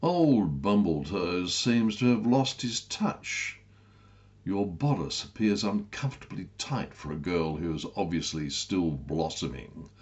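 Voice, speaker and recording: Guy doing smug oily georgian duke, deep slow pompous voice, storybook comedy. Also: animated, muffled recording. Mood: leering. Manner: pompous, drawn-out.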